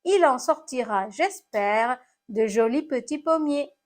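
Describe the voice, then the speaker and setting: Woman voicing a high, plaintive storybook apple. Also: lively intonation, slow. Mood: resigned, generous. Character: battered apple, plaintive then gently resigned and generous.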